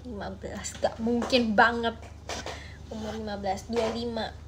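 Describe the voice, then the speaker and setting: A woman in her twenties chatting playfully on a late-night livestream from her bedroom, talking into a phone held at arm's length, sometimes dropping to soft murmurs. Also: a little high, level tone, a little slow, noisy background. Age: 20s. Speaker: idol livestreamer.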